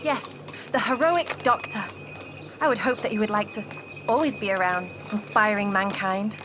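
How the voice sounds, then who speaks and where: A woman's voice: medium pitch, normal speed, dull audio, noisy background, lightly acted. A woman acting an earnest, admiring woman in a Victorian adventure radio drama. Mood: heartfelt.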